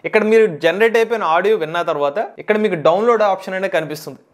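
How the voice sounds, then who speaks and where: A man with a Telugu accent hosting a step-by-step software tutorial, instructional and mixing in English tech terms. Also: high voice, very fast. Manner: instructional, mixes English tech words into speech.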